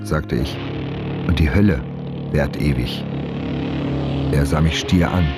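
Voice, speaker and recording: An actor playing a brooding outlawed innkeeper turned murderer in a radio play, speaking in a grave, measured, reflective way. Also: male voice, extremely low pitch. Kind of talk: German radio play, first-person narration and dialogue. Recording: music clearly behind the voice.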